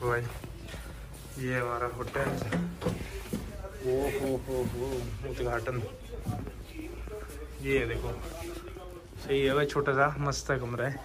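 A young man in his twenties chatting excitedly to his phone camera while on a road trip, dropping English words into casual talk. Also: mid-range voice, slow, long pauses, crowd noise, low-quality recording. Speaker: travel vlogger. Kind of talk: casual travel vlog to camera. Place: outdoors on a hillside and in a small hotel room. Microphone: phone held at arm's length.

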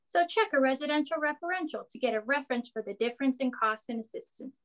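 A woman's voice: high-pitched, animated, fast talker, low-quality recording. An actress playing a brassy, folksy woman who runs a garage, in wisecracking 1940s radio ad style. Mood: folksy, chipper. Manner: folksy, wisecracking sales patter.

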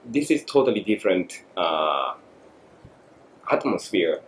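A man in his forties from Japan with a Japanese accent, a guest speaking thoughtfully and haltingly in a podcast interview, with many fillers. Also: sits low, sing-song. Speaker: guest photographer. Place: small studio room. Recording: echoey room, faint noise.

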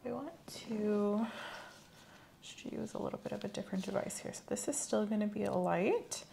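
A woman speaking in a gentle, measured, clinical way, often dropping to a whisper, in a soft ASMR medical exam roleplay. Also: medium pitch, gravelly. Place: small indoor room set up as an exam office. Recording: faint background noise.

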